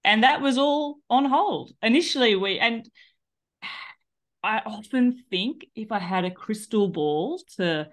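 Australian woman, 30s, guest on a video call interview; lower register, long chatty answers. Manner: chatty, long-winded storytelling with laughs. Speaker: guest, cycling tour business owner. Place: small room at home. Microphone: headset on a video call.

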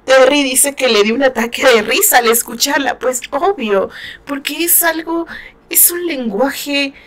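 Middle-aged woman, relaxed book-club chatter, frequent laughs, voice a touch high. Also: unhurried. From Mexico. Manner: chatty, laughs often, many fillers. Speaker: book reviewer. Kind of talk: casual chat, reading and commenting on a novel.

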